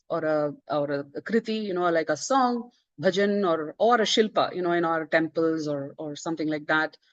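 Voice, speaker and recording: A woman's voice: lowish voice, dry recording. Earnest woman, dull dry sound. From India. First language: Tamil. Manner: earnest, with frequent "uh" and "you know" fillers and restarts. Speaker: book author presenting her book. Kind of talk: online book presentation talk.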